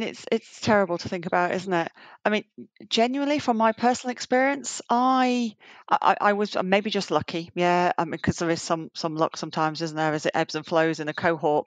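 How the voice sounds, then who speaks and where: A middle-aged woman answers interview questions in a chatty, rambling way, full of fillers and restarts. Her voice sits on the low side. The recording is dry and close. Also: moderate pace, no room sound. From Yorkshire, England. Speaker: guest, banking executive. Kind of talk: remote interview conversation.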